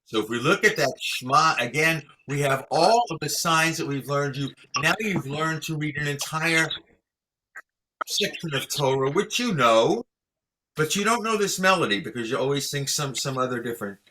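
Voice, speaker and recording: A man's voice: fairly high pitch, animated, noisy background. A man teaching Torah chanting to a class over a video call, patient and encouraging as he explains and leads the students. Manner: patient, encouraging, chants each sign slowly for students to repeat.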